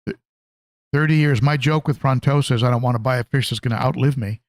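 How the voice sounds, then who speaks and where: A man hosting a casual hobby livestream, talking conversationally and haltingly, often repeating phrases as he answers viewers. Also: mid-range voice, no echo, clean studio sound. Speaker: livestream host, aquarium hobbyist.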